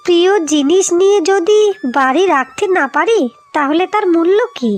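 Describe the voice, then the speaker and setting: A woman voicing an earnest, practical wife in scripted cartoon dialogue, speaking deliberately. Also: extremely high pitch, animated, faint music behind. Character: determined, practical young Bengali wives plotting to save home.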